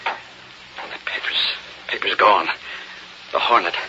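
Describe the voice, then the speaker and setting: An actor playing a dazed but determined, indignant middle-aged American man in an old radio drama. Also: low-pitched. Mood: groggy, confused. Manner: halting, muttered fragments turning to firm orders. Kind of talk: 1930s-40s radio drama acting. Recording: dull, noisy old radio recording.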